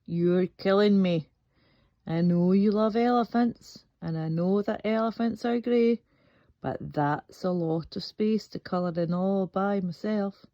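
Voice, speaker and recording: A woman voicing an exhausted, overworked grey crayon from a storybook, with weary, drawn-out exasperation. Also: medium pitch, dark tone, close to an everyday voice. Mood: weary, exasperated. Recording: dull, echoey room recording.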